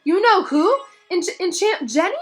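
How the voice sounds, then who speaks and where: A woman's voice: very high voice, animated, measured pace, pitched above the performer's own voice. A woman voicing a stunned, anxious wizard father in a storybook, stammering in disbelief. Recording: music behind the voice.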